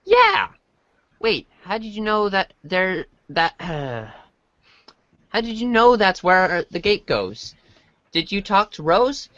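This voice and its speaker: Earnest, excitable boy voiced by an amateur, forceful bright tone, lively swings, chatty reader's theater delivery. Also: mid-range voice, takes it slowly, no room sound, highs cut off, exaggerated voice. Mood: excited, curious. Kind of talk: amateur reader's theater, reading chat messages.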